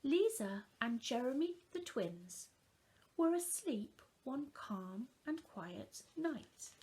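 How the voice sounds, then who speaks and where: A woman reading a children's story aloud in a deliberate, expressive storytelling manner. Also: high-pitched, low-quality recording.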